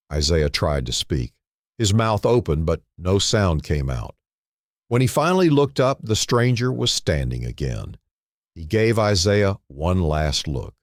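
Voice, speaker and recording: A man narrating a scripted dramatic story in a solemn manner, in short phrases with pauses. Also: deep voice, no echo, studio quality.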